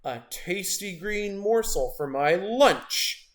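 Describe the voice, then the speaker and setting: Hungry male shark for a children's story, voiced by a man: growling, menacing, wryly amused, big swings in pitch. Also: lively intonation, slow.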